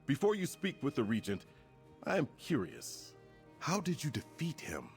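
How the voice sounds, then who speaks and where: An actor playing a solemn, loyal warrior comrade in a fantasy game, with a husky voice and formal speech. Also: male voice, medium pitch, wildly swooping pitch, moderate pace, faint music behind. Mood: earnest, grateful. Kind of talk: fantasy video game dialogue.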